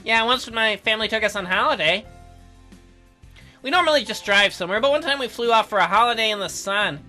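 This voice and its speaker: A woman's voice: a little high, animated, quick pace, pushed and bright, faint music behind. A woman voicing a sceptical, reluctant fifteen-year-old boy in a visual novel game, dry and sarcastic. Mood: sarcastic, casual.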